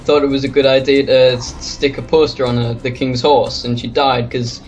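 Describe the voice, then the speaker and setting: Young man, mid-pitched, chatting while gaming. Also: level tone, normal speed, faint music behind, poor audio. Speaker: co-player. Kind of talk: casual chat while playing a game.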